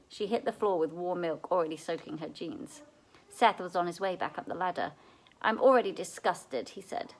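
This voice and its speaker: A woman reading a children's novel aloud in an expressive storytelling way, as at a library read-aloud. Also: fairly low pitch, poor audio.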